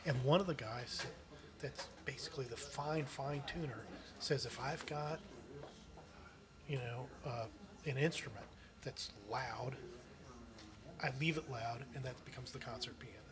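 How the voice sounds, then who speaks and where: A man's voice: medium pitch, measured pace, pauses often. A man in casual, rambling conversation as a member at a club meeting. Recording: live meeting room, chattering crowd. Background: clear crowd chatter.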